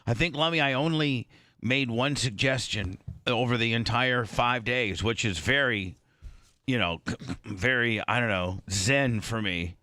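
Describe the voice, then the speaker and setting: Radio host man, slightly congested, halting rambling talk, bright forceful voice, dry studio sound. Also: medium pitch, no room sound. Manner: rambling, halting, many restarts. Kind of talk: casual morning radio chat. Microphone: studio microphone.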